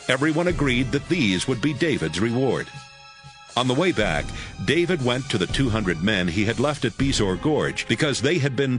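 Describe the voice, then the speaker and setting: A man narrating dramatized scripture from a script, in a clearly phrased storytelling manner. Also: medium pitch, fairly bright tone. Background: music.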